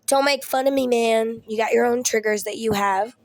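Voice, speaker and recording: A woman's voice: a little high, fairly even intonation, moderate pace. A young woman, ill and losing her voice, chatting casually to camera at home, rambling and swearing with laughing asides. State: ill with Covid. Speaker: vlogger.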